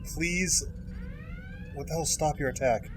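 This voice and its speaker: A man's voice: a little high, unhurried, soft background music. A man playing a tabletop roleplaying game in casual, rather hesitant chat. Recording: faint background noise.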